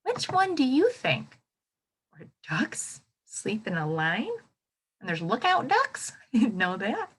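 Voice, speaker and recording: American lady teacher doing a read aloud for kids, bright voice, takes it slow, asks lots of questions. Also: fairly high pitch, breathy edge. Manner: animated, exclaiming, asks listeners questions. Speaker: elementary teacher reading aloud to children. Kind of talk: children's read-aloud lesson with commentary.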